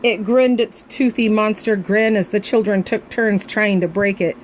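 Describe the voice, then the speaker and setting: A woman reads a children's storybook aloud in an expressive way, as a grandmother would for young listeners. Her pitch is medium, and there is some noise in the recording. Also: moderate pace, rough recording. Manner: expressive, acting out the dialogue. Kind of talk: reading a children's picture book aloud with asides.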